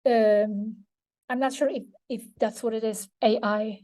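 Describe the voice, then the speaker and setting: A woman, slightly hoarse, answering audience questions as a conference speaker, thoughtful and searching for words with frequent restarts. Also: on the high side, steady tone, unhurried, dark tone. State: slightly hoarse, losing her voice.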